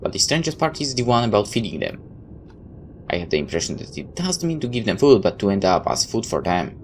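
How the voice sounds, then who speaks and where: A man's voice: lowish voice, very animated, brisk. A man reading a visual novel aloud like a dramatic storyteller, tossing in his own asides. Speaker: let's player. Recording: clear background noise.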